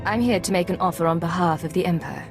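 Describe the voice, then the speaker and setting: A woman playing a self-assured Imperial agent in a video game, measured and matter-of-fact. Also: on the low side, music in the background, close to an everyday voice. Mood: composed, assured. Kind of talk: video game cutscene acting.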